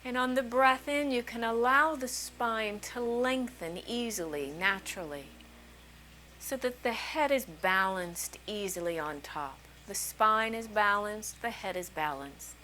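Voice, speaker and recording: A woman leads a guided meditation with a medium-pitched voice over a faint layer of noise. She gives soothing instructions in short phrases, leaving long, unhurried pauses between them. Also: bright, forceful tone. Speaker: meditation teacher.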